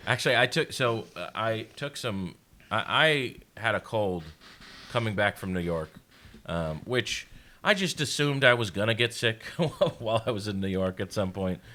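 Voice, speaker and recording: A man hosting a podcast in casual chat, his voice a little hoarse and raspy, rambling and correcting himself as he goes. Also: fairly low pitch, animated, normal speed, bright, forceful tone. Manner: rambling, laughing, self-correcting. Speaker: podcast host.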